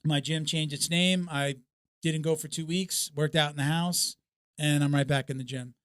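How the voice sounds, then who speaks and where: A man hosting a podcast in casual chat, halting and circling back over his phrases. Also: fairly high pitch, steady tone, a bit bright, clean tone, no echo, studio quality. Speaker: podcast host.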